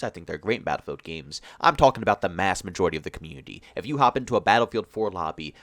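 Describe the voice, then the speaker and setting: Congested man in a fast, lively rant. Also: on the low side, dry recording, clean studio sound. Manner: emphatic, ranting, builds to excited peaks. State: congested, stuffy nose. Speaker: gaming YouTuber. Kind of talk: gaming commentary monologue.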